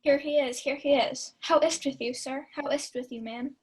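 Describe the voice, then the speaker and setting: A woman's voice: high-pitched, steady tone, moderate pace, lightly acted. A young woman playing a sly prankster feigning pious worry in a radio-style Shakespeare comedy. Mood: feigned alarm. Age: young adult. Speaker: student actor. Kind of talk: 1940s radio play Shakespeare acting.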